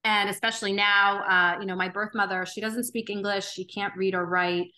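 Bright-toned woman, thoughtful podcast guest. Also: mid-range voice, level tone, moderate pace. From Romania. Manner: earnest, thoughtful, frequent ums and restarts. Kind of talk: reflective interview conversation.

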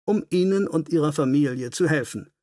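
A man voicing a keen, courteous, self-assured Victorian detective in an audiobook, formal, brisk and inquisitive. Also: on the high side, mellow, no room sound, studio quality, natural voice. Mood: decisive.

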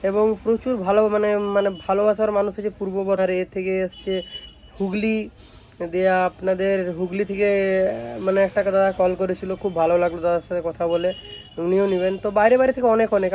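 Young west bengali guy doing a casual shop demo vlog, talks quick, bit of background noise. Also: sits high, darkish tone. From West Bengal, India. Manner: repeats check-in tags. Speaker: speaker repair shop presenter. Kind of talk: informal product showcase vlog.

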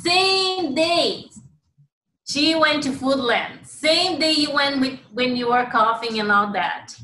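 A woman joins the video call from her bedroom as a guest, her voice high and bright. She speaks earnestly and leans on her points. The room sounds echoey, with faint noise under her voice. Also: slow. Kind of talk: casual chat on a video call. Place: bedroom at home.

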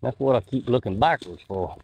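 A man's voice: medium pitch, expressive, fairly quick, a little mellow, breathy edge, poor audio. A man, chatting casually with viewers while livestreaming on a walk through the woods at night, talking into a phone on a handheld gimbal. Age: 40s. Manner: chatty, folksy, reads out viewer comments. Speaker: outdoors livestreamer. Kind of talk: casual live-stream chat with viewers.

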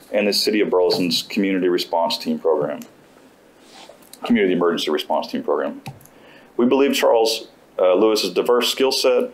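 Man, middle-aged, very low voice, formal prepared speech, podium mic, board meeting room. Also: low-quality recording. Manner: reads steadily from notes, formal. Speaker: school district safety administrator presenting a hire. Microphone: podium microphone.

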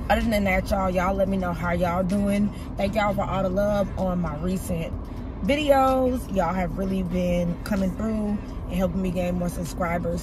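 A woman in her thirties from the United States, chatting casually to viewers in an upbeat vlog from a parked car, stretching words for emphasis. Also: medium pitch. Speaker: vlogger. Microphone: phone camera on dashboard. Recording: loud car and street noise. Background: loud car and outdoor ambience.

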